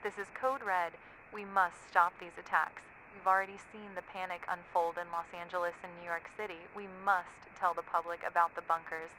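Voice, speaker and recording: A woman's voice: fairly low pitch, flat delivery, brisk, bright, forceful tone. A woman playing an urgent official calling for action in a dramatized crisis. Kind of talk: dramatized emergency dialogue. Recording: noisy radio transmission with background ambience.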